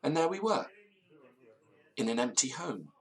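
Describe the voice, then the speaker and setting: An actor playing a nervous, theatrical, disgraced gentleman in an audio drama, with florid old-fashioned diction and a tendency to stammer. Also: mid-range voice, sing-song, a little slow, slightly rough, heavily acted, low-quality recording. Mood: uneasy, reminiscing. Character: nervous, theatrical, hard-drinking disgraced university gentleman storyteller.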